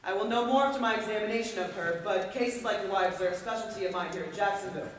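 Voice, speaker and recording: A man acting a condescending asylum superintendent, formal, measured and placating, in a stage play. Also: high voice, natural voice. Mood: authoritative, placating. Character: smooth condescending male asylum superintendent doctor. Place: auditorium stage, recorded from far off. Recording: echoey hall from far off, faint hiss.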